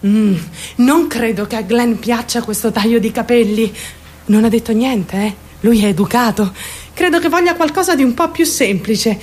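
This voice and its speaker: Actress as a high-voiced, troubled young woman. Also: normal speed, darkish tone, a little hiss or hum, natural voice. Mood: defiant, then eager. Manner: intense, self-questioning, shifting suddenly in tone. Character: troubled young woman, obsessive, fearful, confiding in onlookers. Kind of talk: dramatic first-person monologue, radio drama.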